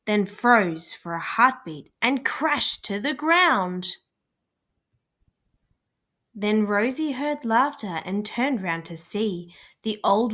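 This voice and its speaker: A woman's voice: medium pitch, a bit bright. A woman storyteller reading a rhyming children's picture book aloud as scripted narration.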